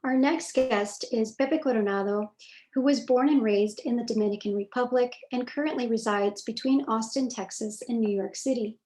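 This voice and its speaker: A woman's voice: on the high side, a little mellow, low-quality recording. Woman moderator reading a formal introduction. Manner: reads from a script in measured, formal phrasing. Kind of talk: scripted introduction for a virtual talk. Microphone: video call.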